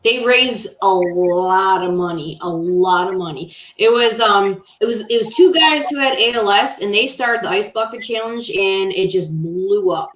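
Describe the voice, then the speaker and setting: A woman's voice: medium pitch, measured pace, hardly any pauses, a bit bright, roomy sound, rough recording. A woman chatting casually as co-host, emphatic and repeating herself for stress. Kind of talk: casual chat.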